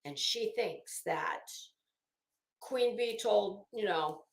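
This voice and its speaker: A woman's voice: medium pitch, lively intonation, takes it slowly, gravelly. A woman giving casual, sarcastic commentary as a livestream host, with a theatrical, exasperated and mocking delivery. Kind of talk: casual commentary on a legal case, chatting with viewers. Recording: echoey room.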